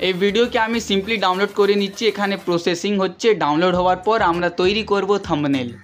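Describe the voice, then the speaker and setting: A man presenting a step-by-step software tutorial in voice-over, mixing English words into his speech. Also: high voice, quick pace. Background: background music.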